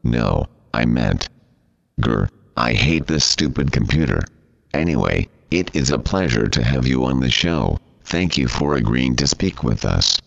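A synthetic computer voice playing a formal, easily flustered male interviewer, very deep and gravelly. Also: very animated, measured pace, full character voice. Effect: computer text-to-speech voice. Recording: dull, muffled audio.

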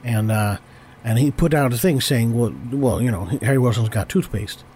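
Hoarse, rambling man hosting a podcast. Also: medium pitch, normal speed, mellow, slight background noise. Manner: rambling, stammering, self-correcting. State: hoarse with a cold. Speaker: podcast host. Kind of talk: improvised comedy podcast.

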